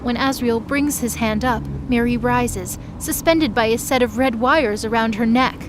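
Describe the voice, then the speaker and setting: A woman's voice: fairly high pitch, normal speed, noisy background. A woman giving dramatic scripted narration as the narrator of an audio drama.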